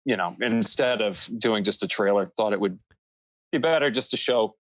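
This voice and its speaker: A man's voice: on the low side, normal speed, no echo. A man talking casually as a podcast guest, thinking aloud in a meandering, hesitant and modest way. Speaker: podcast guest, audio drama creator.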